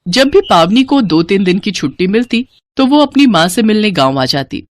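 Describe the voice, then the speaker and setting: Woman, scripted story narration, animated intonation, mid pitch, dry recording. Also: moderate pace, dry recording.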